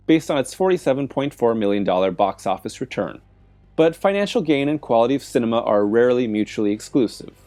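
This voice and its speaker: Man narrating a video essay, lively intonation. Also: mid-range voice. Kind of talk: scripted film essay narration. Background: background music.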